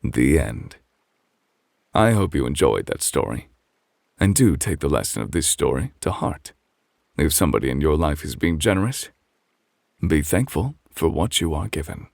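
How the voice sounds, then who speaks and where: A man playing a calm, refined gentleman who reads a bedtime story as gentle, measured ASMR close to the microphone. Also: extremely low pitch, vocal fry, no room sound, heavily acted. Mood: gentle, formal.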